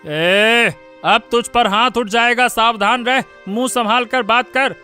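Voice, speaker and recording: A man plays a harsh village father in a cartoon. His voice is very high-pitched and sharp, blunt and scolding, over faint music. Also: takes it slowly, smooth, exaggerated voice. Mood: angry. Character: harsh, dismissive, hard-drinking village father. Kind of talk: Hindi cartoon story voice acting.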